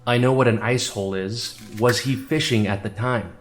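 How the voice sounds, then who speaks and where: Male actor as courtroom lawyer: medium pitch, formal, skeptical, probing. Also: fairly even intonation, moderate pace, faint music behind, lightly acted. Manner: formal questioning. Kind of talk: courtroom audio drama.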